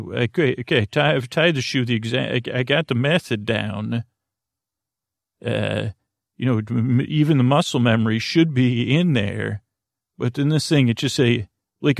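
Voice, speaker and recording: A man with a gravelly, fry-laden voice at an ordinary male pitch, recorded close and dry. He rambles through a whimsical bedtime monologue as a podcast host, meant to lull listeners to sleep. His sentences meander, halt and double back on themselves. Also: no room sound. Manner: meandering, self-interrupting, halting with trailing tangents; whimsical, mildly absurd.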